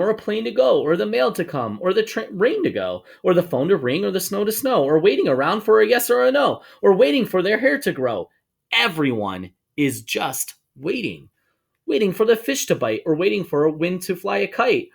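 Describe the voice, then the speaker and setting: A man reads a rhyming children's story aloud. His voice sits a little high and swings widely in pitch, with noticeable creak. He is expressive and sing-song, stretching out words for emphasis. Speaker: storybook reader for schoolchildren. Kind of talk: animated read-aloud of a rhyming children's book.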